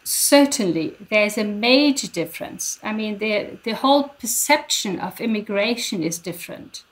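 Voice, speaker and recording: An older woman from Germany giving careful, halting explanations as an academic guest, speaking into a headset on a video call. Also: medium pitch, expressive, low-quality recording. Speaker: guest professor. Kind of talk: explanatory interview answers.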